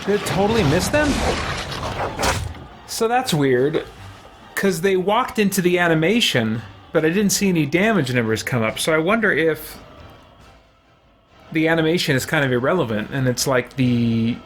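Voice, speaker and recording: A man giving casual live gameplay commentary as a streamer, rambling and thinking out loud as he goes. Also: a little high, lively intonation, normal speed, pauses often, soft background music. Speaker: gaming channel host, streamer. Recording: noticeable background noise.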